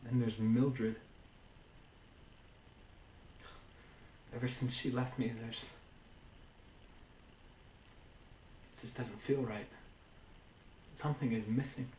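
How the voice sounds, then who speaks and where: A man's voice: fairly high pitch, sharp tone, slight background noise. A man playing a despairing, lovelorn factory man in a subdued, brooding stage monologue. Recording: roomy, echoing indoor recording.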